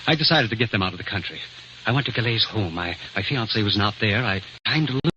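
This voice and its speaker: A man's voice: lowish voice, lively intonation, fast talker, bright, forceful tone, husky, full character voice. A man acting a nervous young man accused of spying in an old radio drama, hesitant, stammering and protesting. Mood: anxious, protesting. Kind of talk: 1930s-40s radio acting. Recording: muffled, hissy old radio recording.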